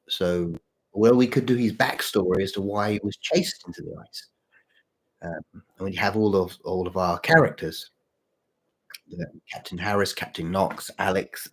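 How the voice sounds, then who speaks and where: Male streamer, fairly deep and rough-edged voice with a touch of sore throat, halting, informal, repetitive chat. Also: poor audio. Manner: halting, repeats phrases. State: mild sore throat, voice deeper than usual. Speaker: channel host and story writer. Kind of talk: casual livestream chat with viewers.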